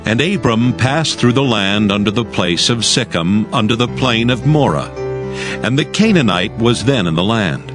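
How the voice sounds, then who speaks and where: A man narrating scripture as a scripted dramatized audio reading, in a solemn, measured storytelling manner. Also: fairly low pitch, animated. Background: music.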